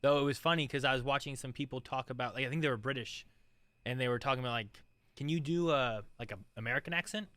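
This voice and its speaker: A man's voice: mid-range voice, quick pace, sharp tone, no room sound. A man co-hosting a podcast in a studio, musing aloud in casual chat with many restarts and fillers. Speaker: podcast co-host.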